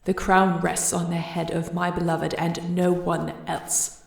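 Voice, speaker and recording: Casting: actress as imperious dragon-shifter queen, fiercely protective, formal delivery, low voice with gravelly edge, fantasy audio drama. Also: level tone, a little slow, a little hiss or hum. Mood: cold, threatening. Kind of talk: fantasy audio roleplay acting.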